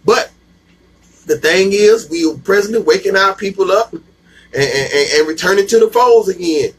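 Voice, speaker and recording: High-pitched emphatic man preaching. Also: normal speed, a bit bright, slight background noise, low-quality recording. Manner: emphatic call-and-response, repeats "man", slangy. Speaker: bible teacher. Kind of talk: street-level scripture teaching.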